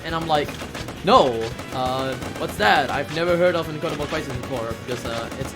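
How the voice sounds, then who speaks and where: A man's voice: a little high, a little fast. A man giving casual live commentary as a speedrunner, chatty and repeating himself as he goes. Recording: loud game music behind. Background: video game music.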